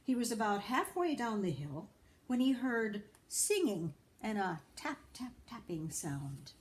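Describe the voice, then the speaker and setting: A woman narrates a children's folktale at a slow, measured pace. Her mid-pitched voice leans into dramatic moments and breaks off for asides to listeners, over faint background noise. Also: sing-song. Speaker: storyteller. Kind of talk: expressive read-aloud narration of a children's folktale.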